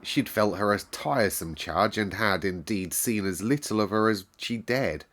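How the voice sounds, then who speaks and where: A man reading a classic children's novel aloud in a calm, measured storyteller's way. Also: lowish voice.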